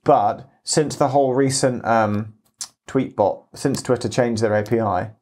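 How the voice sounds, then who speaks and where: A man's voice: medium pitch, a little slow, darkish tone. A man hosting a podcast in a casual, questioning, conversational way. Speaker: podcast host. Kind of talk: casual panel chat.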